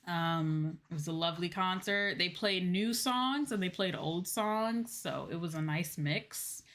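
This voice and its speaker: A woman's voice: fairly low pitch, unhurried, a bit bright. A woman livestream host chatting casually to her viewers in an informal, gushing way. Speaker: game livestream host, VTuber.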